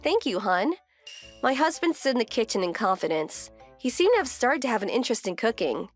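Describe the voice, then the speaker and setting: A woman's voice: on the high side, sing-song, brisk, faint music behind. A woman playing a composed, wry wife who narrates her domestic story in a scripted manga dub. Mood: calm.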